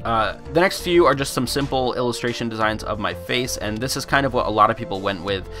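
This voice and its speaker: A man's voice: mid-range voice, fairly quick, music in the background. A young man with a cold, chatting casually over a screen recording as he reviews fan art, rambling and repeating words. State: congested from a cold. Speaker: gaming YouTuber.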